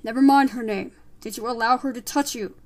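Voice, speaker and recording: A woman voicing a stern, formal elder male mouse who presides with deliberate authority in a storybook. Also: on the high side, natural voice. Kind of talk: audiobook character voice.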